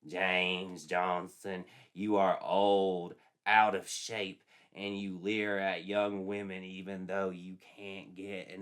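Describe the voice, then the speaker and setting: A man's voice: sits low, fairly bright tone, clean tone. A man voicing a menacing female witch spirit who mocks and insults in a storytelling piece.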